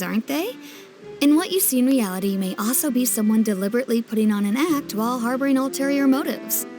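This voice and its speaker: An eloquent townswoman with a high, light voice and polished, theatrical phrasing. She speaks as a game cutscene character. Game music sits clearly behind her. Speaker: local townsperson. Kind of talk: video game voice acting. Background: game score music.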